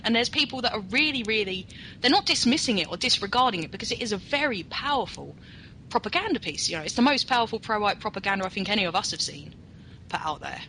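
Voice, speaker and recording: A woman's voice: on the high side, pushed and bright, a little hiss or hum, poor audio. A woman hosting a casual talk, speaking with enthusiasm and building up to her questions. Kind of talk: casual podcast chat.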